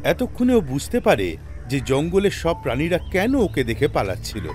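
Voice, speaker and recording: Very animated male children's storyteller. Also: on the high side, normal speed. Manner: expressive, dramatic storytelling. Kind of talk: scripted children's story narration. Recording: loud music behind narration. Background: music.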